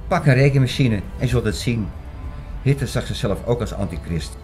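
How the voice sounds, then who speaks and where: A man's voice: medium pitch, animated, fairly quick, natural voice. A man playing an earnest theorist in an audio drama, lecturing in an emphatic, didactic and insistent way. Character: earnest male theorist expounding an apocalyptic conspiracy. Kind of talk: Dutch audio drama acting, lecturing monologue. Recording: prominent music bed under the voice.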